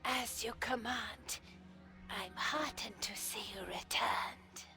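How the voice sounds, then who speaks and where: A woman voicing a devoted follower of a god in a fantasy game, soft-spoken, hushed and reverent. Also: on the high side, takes it slowly, in a whisper, soft background music, full character voice. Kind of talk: scripted fantasy game dialogue.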